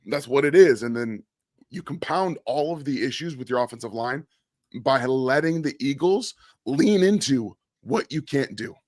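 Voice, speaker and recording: A sick man whose voice is giving out breaks down a football game as co-host, blunt and repeating his main points for emphasis. His pitch is mid-range for a man, and the sound is dry and close, with no room echo. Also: animated, normal speed, darkish tone. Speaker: co-host analyst. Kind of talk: post-game sports analysis.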